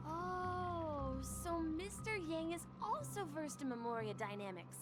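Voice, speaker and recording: A friendly young woman from a video game, with a high and lively voice. She is soothing and expressive, with music clearly behind her. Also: takes it slowly, heavily acted. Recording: background music.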